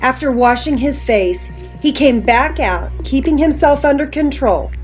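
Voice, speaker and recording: A woman's voice: high-pitched, lively intonation, unhurried, muffled recording. A woman narrator reading scripture aloud as dramatic scripted storytelling. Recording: music behind the voice.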